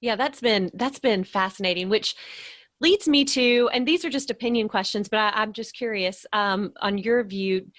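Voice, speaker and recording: A woman's voice: mid-range voice, normal speed, fairly bright tone, dry recording, studio quality. A woman hosting a casual interview, putting her questions and thoughts in a tentative, thoughtful way. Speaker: podcast host, interviewer.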